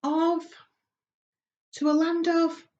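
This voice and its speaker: A woman's voice: extremely high pitch, completely flat delivery, drawn-out words, darkish tone, voice pushed up, heavily acted. Woman as a kind ladybird, slightly dull recording. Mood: reassuring. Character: kind, gentle, reassuring female ladybird.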